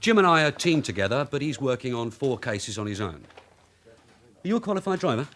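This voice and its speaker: A man's voice: mid-range voice, big pitch swings, a little fast, fairly bright tone. A man playing a streetwise, long-serving detective sergeant in a radio drama, offhand and wry. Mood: wry, matter-of-fact. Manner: offhand, wry, matter-of-fact. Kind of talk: British radio drama acting.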